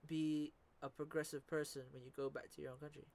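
A man's voice: a little high, flat delivery, a little fast, gravelly, a little mellow. A young man from the Philippines in casual chat, slangy, swearing often and repeating words.

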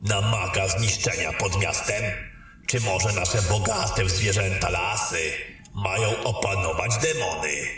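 A man playing a blunt, stubborn, mocking royal councillor in a fantasy audio drama, speaking with deliberate, biting sarcasm. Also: sits low, a bit bright. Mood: insistent, grave. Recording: very echoey, distant, music underneath.

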